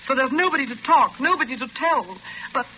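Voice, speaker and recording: A woman's voice: sits high, animated, brisk, sharp tone. An actress playing an earnest, defiant young woman with an intense, emotional manner in a golden age radio drama. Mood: urgent, accusing. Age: young adult. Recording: dull, noisy vintage radio recording.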